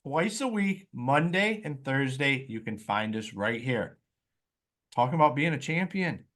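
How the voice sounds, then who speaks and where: A man's voice: mid-range voice, lively intonation, unhurried, a bit bright. A man hosting a podcast, upbeat and enthusiastic, introducing guests and reading his own promotional spots. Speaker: podcast host. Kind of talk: podcast hosting and self-read ad.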